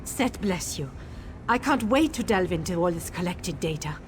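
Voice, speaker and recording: A woman voicing a defensive but soft-hearted researcher in a fantasy video game, prickly and expressive. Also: on the low side, normal speed, a little hiss or hum, lightly acted. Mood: relieved, attached. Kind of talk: AI-voiced video game dialogue.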